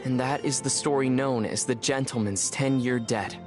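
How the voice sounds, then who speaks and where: A man as a calm young adventurer over music. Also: medium pitch, moderate pace, close to an everyday voice. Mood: storytelling. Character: calm, wry young male adventurer hero. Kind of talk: scripted game dialogue and storytelling.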